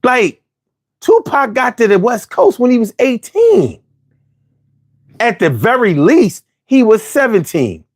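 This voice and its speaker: A man's voice: extremely high pitch, very animated, takes it slowly. A man in his forties from Philadelphia with a Philly accent, hosting a casual, argumentative monologue full of slang and restarts. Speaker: host.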